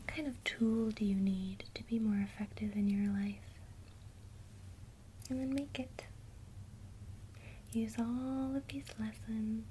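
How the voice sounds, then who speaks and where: Slow, gentle whispering woman. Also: on the high side, slight background noise, rough recording. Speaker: ASMR creator teaching witchcraft. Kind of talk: whispered ASMR lesson.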